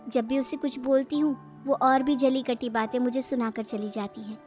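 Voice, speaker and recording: A woman plays a long-suffering elder daughter-in-law in a family tale. She speaks in a fairly high, pointed voice with sly sarcasm under the sweetness. Music sits faintly behind. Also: flat delivery, normal speed, darkish tone, natural voice. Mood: hurt.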